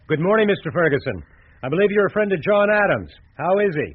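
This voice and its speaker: A higher-voiced, shrewd American executive. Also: male voice, big pitch swings, quick pace, slightly rough, exaggerated voice. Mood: polite, businesslike. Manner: businesslike, courteous, frank. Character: shrewd, polite big-city advertising executive. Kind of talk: radio drama acting. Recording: thin, phone-like radio drama sound.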